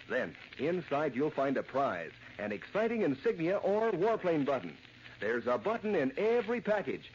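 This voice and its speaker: An American man works as an old-time radio pitchman, folksy and emphatic. His voice is a bit high and swings widely in pitch. The vintage recording is muffled and faintly noisy. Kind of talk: 1940s radio advertising, acted chat and sales pitch.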